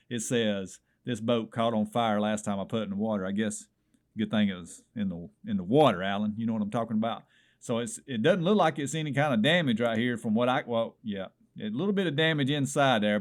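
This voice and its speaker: A folksy man calling in to a small-town radio trading show, talking things over at mid pitch. He rambles, circles back on himself and keeps turning to the host as brother. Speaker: radio swap shop caller. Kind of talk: comedic call-in segment reviewing listings.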